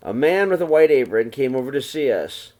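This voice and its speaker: Man reading a picture book, very lively intonation. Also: mid-range voice, brisk. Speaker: read-aloud storyteller for young children. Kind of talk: expressive picture book read-aloud.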